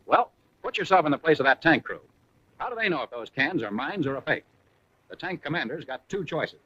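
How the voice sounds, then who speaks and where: Casting: American man, slightly high-voiced and husky, punchy wartime instructional narrator for a vintage 1940s army film. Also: big pitch swings, brisk, sharp tone. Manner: punchy, colloquial, wartime slang. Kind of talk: 1940s military training film narration, scripted. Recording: muffled, raspy vintage 1940s film soundtrack.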